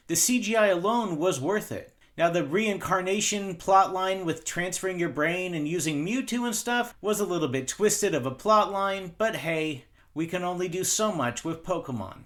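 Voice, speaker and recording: A man's voice: a little high, a bit bright. A man in his forties giving scripted, opinionated commentary as a video host, with wry, sarcastic asides.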